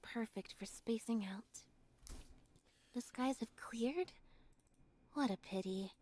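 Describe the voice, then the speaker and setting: An actress playing an aloof, quiet young catgirl magician's assistant in a video game, reserved and deadpan. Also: on the high side, slow. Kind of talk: video game character voice lines.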